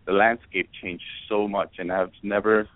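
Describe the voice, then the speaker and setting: A man's voice: lowish voice, flat delivery, normal speed, no echo. A man from Ecuador, a band founder, answering radio interview questions in a rambling, hesitant way with many fillers. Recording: tinny phone-like line.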